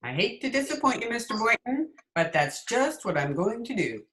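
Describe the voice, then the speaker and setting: A woman playing a dry, sardonic, quick-witted schoolteacher in old-time radio comedy style. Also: a little high, sing-song, fairly bright tone. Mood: dry, disappointed. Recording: noisy remote video call with clicks.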